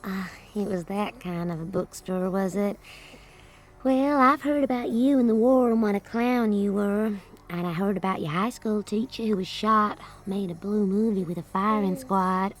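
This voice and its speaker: A woman's voice: medium pitch, moderate pace, natural voice. An actress playing a tender, teasing young mother and captive starlet in an intimate radio drama, languid and playful in manner.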